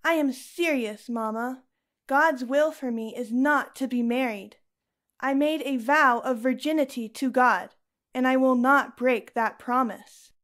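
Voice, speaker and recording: High-voiced, devout young Roman woman played by an actress. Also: measured pace, a bit bright. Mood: defiant. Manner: earnest, firm. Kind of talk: audio drama acting.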